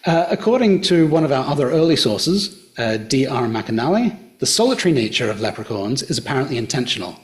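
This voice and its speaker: A middle-aged Australian man speaks to a gathered audience in a hotel function room. He retells old folk tales in a measured, unhurried storyteller's manner, at a pitch typical for a man. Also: big pitch swings. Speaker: local history presenter. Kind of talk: public talk retelling folklore.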